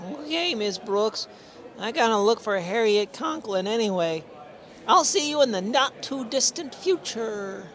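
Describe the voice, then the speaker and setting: A woman playing an excitable, high-pitched teenage schoolboy. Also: measured pace. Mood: eager. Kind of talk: old-time radio comedy acting.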